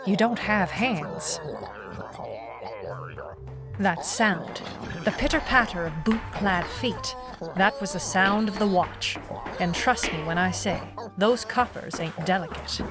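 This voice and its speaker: A woman's voice: medium pitch, takes it slowly, pauses often, music in the background. A woman playing a wry, world-weary, tough private eye, narrating a hardboiled noir audio drama. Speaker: lead narrator.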